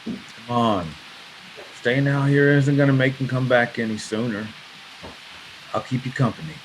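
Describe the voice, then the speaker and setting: A man's voice: medium pitch, steady tone, measured pace, natural voice. Man playing a coaxing male survivor, faint hiss. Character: hurt, persistent survivor pining for friend's wife. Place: video call. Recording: noisy online video call.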